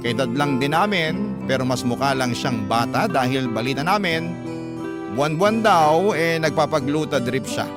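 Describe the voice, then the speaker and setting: Isang lalaking host ng programa sa radyo na madamdaming nagbabasa ng liham ng isang tagapakinig. Medyo mataas ang boses niya at buhay ang pagkukuwento. Malakas ang tugtog ng gitara sa likod. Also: male voice, on the high side, normal speed. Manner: expressive storyteller voice. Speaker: host reading a listener's letter. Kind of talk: dramatic radio letter narration. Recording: loud guitar music behind.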